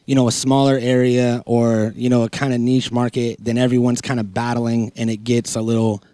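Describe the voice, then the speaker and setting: A man's voice: mid-range voice, flat delivery, a little fast, dark tone, clear, resonant tone, no echo. A man chatting casually and informally on a podcast, using slang and plenty of filler phrases. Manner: informal, slangy, peppered with "you know".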